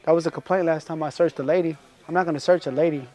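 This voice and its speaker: A man's voice: fairly high pitch, steady tone, racing, warm tone. An American man in confrontational prank banter, posing as store security and talking in an insistent, repetitive way.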